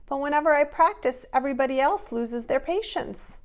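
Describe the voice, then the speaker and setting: A woman's voice: high voice, expressive, a little fast, pitched above the performer's own voice. A woman voicing an earnest, eager young boy in a children's storybook. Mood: discouraged. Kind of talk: children's storybook read-aloud.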